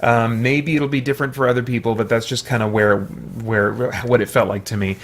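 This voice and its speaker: A man with a medium-pitched voice reviews a film in a casual, off-the-cuff way. He thinks out loud, rambling a little and often starting a phrase over before he settles on it. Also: steady tone, brisk, slight background noise. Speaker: movie reviewer, video host.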